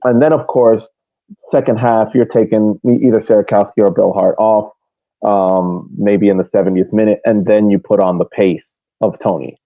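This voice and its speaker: A man's voice: fairly low pitch, steady tone, normal speed, no room sound. A man co-hosting a podcast, giving casual sports analysis over a tinny, phone-like line. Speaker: podcast co-host.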